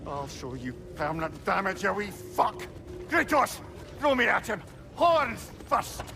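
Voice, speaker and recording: An actor playing a witty, learned, cantankerous older advisor in a video game, with a Scottish accent and a wordy, theatrical manner. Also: male voice, high-pitched, very animated, a little slow, gravelly, music in the background, exaggerated voice. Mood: outraged. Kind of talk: video game drama acting.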